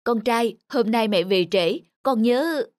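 A woman voicing a busy, caring mother in a cartoon, giving gentle instructions. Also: fairly high pitch, lively intonation, takes it slowly, over loud music. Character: busy, caring working mother of a young boy. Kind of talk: cartoon voice acting.

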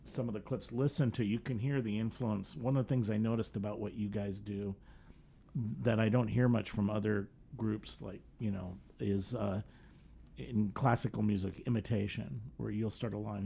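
A man's voice: fairly low pitch, fairly even intonation, moderate pace, gravelly, warm tone, husky. A middle-aged American man hosting a casual radio interview, speaking into a studio microphone. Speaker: radio host. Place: small radio talk studio. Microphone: studio microphone on an arm, headphones.

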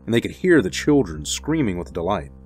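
A man's voice: fairly low pitch, sing-song, fairly quick, a little mellow, husky, faint music behind. Ominous male radio drama narrator. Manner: suspenseful, ominous.

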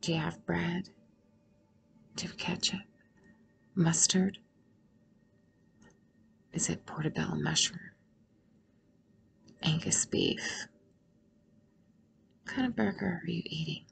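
A woman guiding a meditation in a soothing, unhurried way, with long pauses and breathy phrases that trail toward a whisper. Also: fairly low pitch, fairly even intonation. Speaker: guided meditation host. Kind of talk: guided meditation narration.

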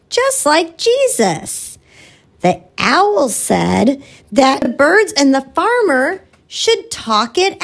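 A woman's voice: fairly high pitch, exaggerated voice. A woman voicing a cheerful, friendly cartoon orangutan who teaches children a Bible lesson with exaggerated enthusiasm.

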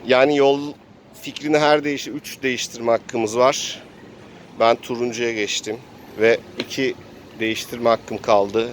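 A man's voice: mid-range voice, steady tone, a little slow. Man narrating a role-play game over background noise. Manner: narrates game action as if living it. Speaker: game master and player.

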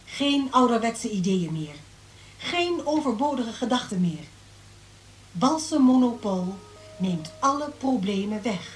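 Slow, echoing radio ad announcer. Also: female voice, on the high side, lively intonation, a little hiss or hum. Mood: stylised sales pitch. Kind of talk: stylised radio commercial within a drama. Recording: echoing voice over eerie music.

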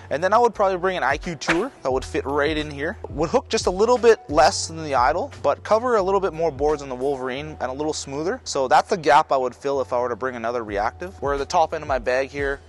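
A man in his thirties in a bowling alley, thinking aloud in a relaxed way as he explains his ball choices on a lapel mic. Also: fairly high pitch, quick pace, hardly any pauses, soft background music. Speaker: right-handed bowler presenting his arsenal. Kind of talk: casual equipment walkthrough.

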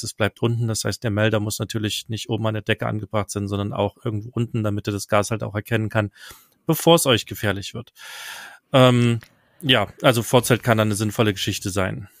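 A man in his thirties co-hosting a podcast, giving practical advice in a relaxed way with many fillers. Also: on the low side, vocal fry, dry recording, studio quality. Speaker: podcast co-host. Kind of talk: casual advice chat. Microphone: headset and studio mic.

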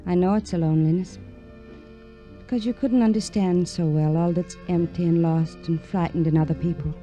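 An actress playing a lonely, enigmatic young woman in an old radio noir drama, with yearning, deliberate phrasing. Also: lowish voice, steady tone, mellow, natural voice. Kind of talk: late-1940s to 1950s radio noir melodrama acting. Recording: dull old radio recording, music behind.

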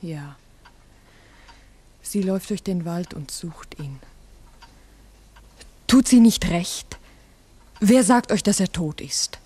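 A woman's voice: lowish voice, expressive, slow, long pauses, dark tone, breathy edge, full character voice. An actress playing a troubled woman who asks terse, doubting questions in a 1960s radio play. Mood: hushed, defiant. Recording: 1960s mono radio recording.

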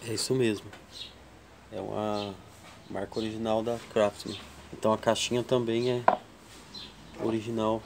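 A man from Brazil hosting a casual tool vlog at his workshop bench, slowly counting wrench sizes and chatting to viewers. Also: lowish voice, little pitch movement, creaky, noisy background. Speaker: tool channel host. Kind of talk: casual show-and-tell vlog.

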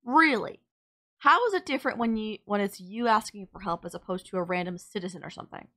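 A woman's voice: medium pitch, fast talker, a bit bright, natural voice. A woman playing a sardonic villain nemesis in an audio roleplay, scolding and teasing the listener in an exasperated but caring way. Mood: scolding care.

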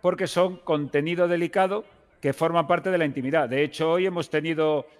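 Un hombre con acento de España interpretando en un juego de rol a un mánager fanfarrón y oportunista que insiste y repite frases con grandilocuencia. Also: male voice, fairly high pitch, steady tone, a little fast, long reverb tail, lightly acted. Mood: grandiose, defensive. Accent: Spanish (Spain). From Spain. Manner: insistent, grandiose, repeats phrases. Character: brash, scheming male talent manager seeking TV fame. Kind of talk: improvised online roleplay.

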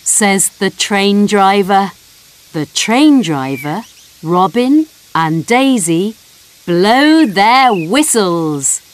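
Woman narrator, storybook for toddlers, unhurried sing-song read, mid-pitched. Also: big pitch swings, slight background noise. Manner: very slow, clear, sing-song reading. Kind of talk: slow storybook narration for small children.

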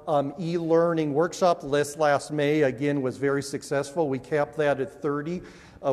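A man's voice: mid-range voice, darkish tone. A man in his forties giving a conference welcome speech on a large auditorium stage through a lapel mic, earnest and upbeat, with frequent fillers and restarts. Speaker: university administrator giving welcoming remarks. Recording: long hall reverb.

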